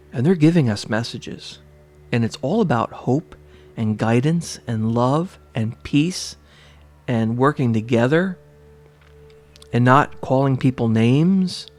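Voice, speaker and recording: A man's voice: mid-range voice, darkish tone. Congested guy with a scratchy throat doing a tarot talk, rambles a lot, faint music behind. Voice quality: slightly scratchy, rough throat. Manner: rambling, with frequent fillers and restarts. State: stuffy and congested from allergies. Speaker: tarot reader. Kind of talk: casual spiritual talk to camera.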